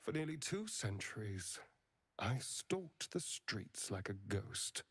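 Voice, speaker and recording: A charming but wounded vampire for a video game. His husky, breathy voice moves slowly and swoops dramatically through each phrase, giving every line a wry, theatrical edge. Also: fairly low pitch, big pitch swings, a bit bright, full character voice. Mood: reflective, sombre.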